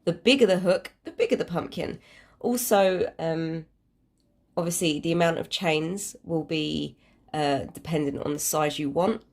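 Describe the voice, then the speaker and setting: A British woman with a slightly low voice, thick with a head cold, hosts an informal craft tutorial. She talks in a hesitant, rambling and self-deprecating way, explaining each step as she goes. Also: measured pace, darkish tone. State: congested with a cold. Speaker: crafting channel host.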